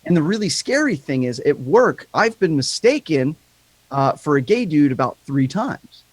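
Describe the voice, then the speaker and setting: A man with a higher-pitched voice and a slight lisp, talking candidly and rambling in a casual podcast chat, swearing freely. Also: big pitch swings, moderate pace, dry recording.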